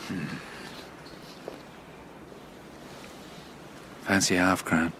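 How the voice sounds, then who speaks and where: A man acting a roguish, expansive father and scheming charmer in a radio drama, rambling and avuncular, moving between hushed and hearty. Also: low-pitched, fairly even intonation, fairly quick, a little hiss or hum.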